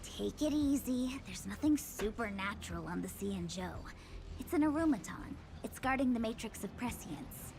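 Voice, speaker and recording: A woman's voice: fairly high pitch, animated, normal speed, vocal fry, music in the background. A woman voicing an easygoing, teasing young female diviner in a video game. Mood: reassuring. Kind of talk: scripted video game voice acting.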